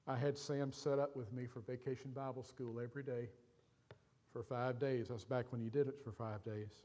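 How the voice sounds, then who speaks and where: An older man preaching from a church stage, telling a personal story with pauses and feeling. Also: medium pitch, fairly even intonation, warm tone. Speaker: pastor. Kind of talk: sermon storytelling.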